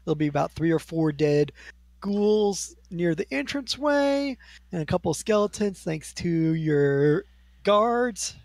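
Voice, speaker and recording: A game master narrating scenes and outcomes in a tabletop role-playing game, describing rooms in an unhurried, descriptive way. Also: medium pitch, animated, a little mellow, no room sound.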